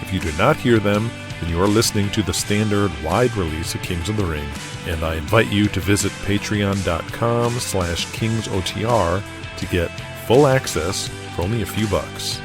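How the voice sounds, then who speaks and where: A man's voice: on the low side, moderate pace. A man hosting and narrating an audio drama in a scripted, dramatic style. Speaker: narrator and host. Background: music, with guitar.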